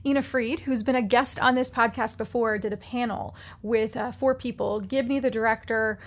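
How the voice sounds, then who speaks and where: A woman podcast host giving a chatty, animated recommendation with plenty of fillers. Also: on the high side, moderate pace. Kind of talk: casual chat, recommendation segment.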